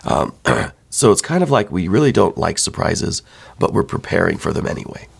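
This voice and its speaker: A man with a hoarse voice, worn down by laryngitis, giving a soft, thoughtful ASMR tarot reading. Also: low-pitched, big pitch swings, moderate pace. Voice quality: hoarse, breaking into whispers. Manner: soft, thoughtful, with many fillers and repeats. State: losing his voice from laryngitis. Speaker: tarot reader.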